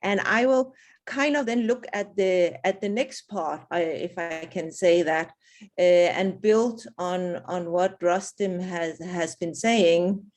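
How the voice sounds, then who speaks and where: A woman's voice: on the low side, slow, darkish tone. A woman presenting at an online webinar, speaking hesitantly with many restarts and fillers. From not South African. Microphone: video call.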